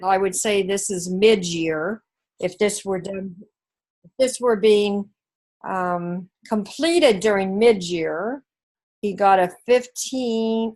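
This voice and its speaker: A woman's voice: medium pitch, a little mellow. A woman teacher with a New Zealand accent, working through the scoring of a test step by step in an online class. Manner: slow, methodical, talks through scoring step by step.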